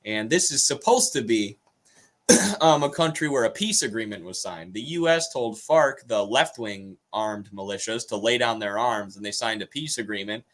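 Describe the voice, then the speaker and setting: A man with an American accent hosting a political livestream, explaining news in an earnest, informal way with halting phrasing and some reading aloud. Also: fairly low pitch, lively intonation, a bit bright.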